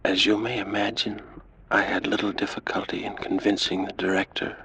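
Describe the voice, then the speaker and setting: Male as a millennia-old Egyptian priest: low, monotone, formal, world-weary, vintage radio drama. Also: rough recording. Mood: grave, resolute. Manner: formal, slow, deliberate. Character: weary 3,500-year-old Egyptian priest, longing for death. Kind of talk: 1940s radio acting. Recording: vintage radio recording with background noise.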